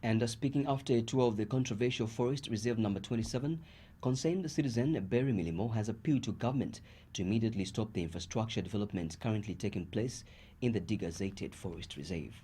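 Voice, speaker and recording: A man's voice: on the low side, fast talker, warm tone, breathy edge. A man with a Zambian accent reading a scripted news bulletin. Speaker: news reader.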